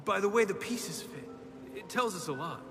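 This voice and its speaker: An actor playing a calm, knowledgeable, kindly mentor to children in an animated film, patient and measured. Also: male voice, high voice, long reverb tail, over music. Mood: thoughtful.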